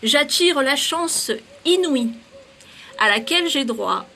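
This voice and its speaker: A woman's voice: high voice, expressive, slow pace, sharp tone, a little hiss or hum. A woman giving spiritual astrology guidance and leading positive affirmations in an emphatic, commanding way, with frequent fillers. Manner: emphatic, lots of "hein" and "euh", commanding affirmations. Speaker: spiritual channeler.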